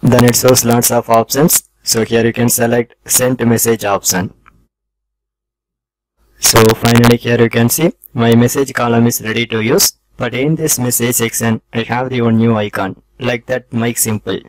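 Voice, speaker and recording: Male tech tutorial host, mid-pitched, scripted instructional delivery, dry recording. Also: fairly even intonation, normal speed, dry recording, poor audio. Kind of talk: scripted step-by-step tutorial narration.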